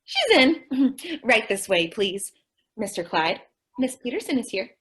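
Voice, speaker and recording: Woman playing a naive, husky young secretary. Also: fairly high pitch, big pitch swings, measured pace, a bit bright, full character voice. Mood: earnest, naive. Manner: naive, literal-minded, earnest. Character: sweet, dim-witted, earnest young secretary. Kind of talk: old-time radio comedy acting.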